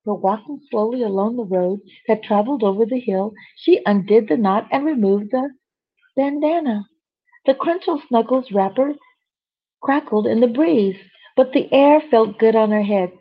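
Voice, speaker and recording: A woman storyteller with a husky voice reads a children's bedtime story expressively, with chatty asides to young listeners. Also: fairly high pitch, normal speed. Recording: dull, lo-fi sound.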